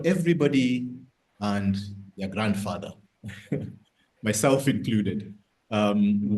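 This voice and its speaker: A man moderating a virtual business panel over a video call, courteous and somewhat halting as he speaks. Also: deep voice, mellow, low-quality recording.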